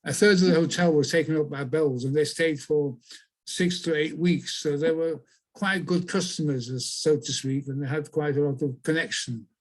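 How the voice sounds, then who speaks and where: Older man, halting storyteller. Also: a little high, fairly even intonation. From Chile. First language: Spanish. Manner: halting, restarts phrases often. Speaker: author and guest. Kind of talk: conversational interview answers.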